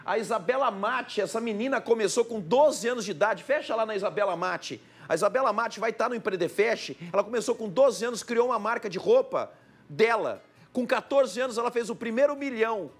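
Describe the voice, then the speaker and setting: A man in his forties hosting a live TV studio interview, enthusiastically hyping the invited speakers. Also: high voice. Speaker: TV host. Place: TV news studio.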